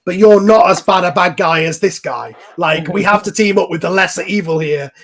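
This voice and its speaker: Higher-pitched man chatting on a voice call. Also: normal speed, a crowd in the background. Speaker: series creator in a cast discussion. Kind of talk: casual group chat. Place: voice chat call over the internet.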